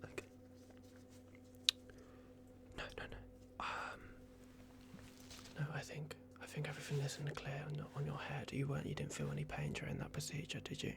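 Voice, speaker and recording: Man acting as playful vampire clinic doctor, ASMR roleplay, soft whispering, very heavy vocal fry, halting reassuring patter. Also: fairly high pitch, big pitch swings. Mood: gently attentive. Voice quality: breathy whisper with gravelly creak.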